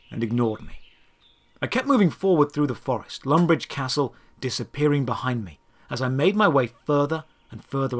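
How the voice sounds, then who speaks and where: A man narrating a first-person fantasy adventure story in a scripted, dramatic storytelling manner, with deliberate phrasing. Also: medium pitch, fairly bright tone.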